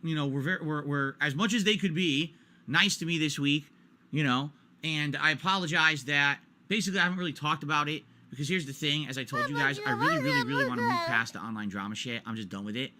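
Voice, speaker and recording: A man's voice: on the high side, fast, sharp tone. A man in his forties ranting during a stream, exasperated and repetitive, hammering his points home. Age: 40s.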